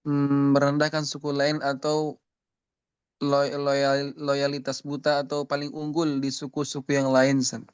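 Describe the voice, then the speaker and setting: A man student answering his teacher in an online class, halting and polite with hesitations. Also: medium pitch, monotone, smooth, no room sound, lo-fi sound.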